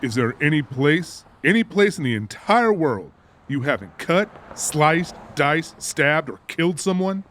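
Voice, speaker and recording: A man's voice: fairly high pitch, lively intonation, measured pace, fairly bright tone. An actor playing an exasperated, wisecracking everyman in an audiobook, with dry, scolding banter. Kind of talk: audiobook character acting.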